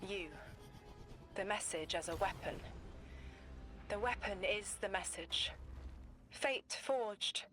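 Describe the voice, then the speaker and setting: An actress playing a cryptic female seer who speaks in slow, trance-like visions. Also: medium pitch, a bit bright, faint music behind.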